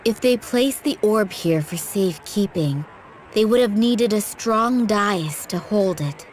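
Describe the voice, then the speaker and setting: A woman's voice: mid-range voice, expressive, a little slow, darkish tone, a little hiss or hum, close to an everyday voice. A woman acting an earnest young princess with a mystical side in a video game, well-spoken in her delivery. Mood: serious, assured. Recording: faint music behind the voice.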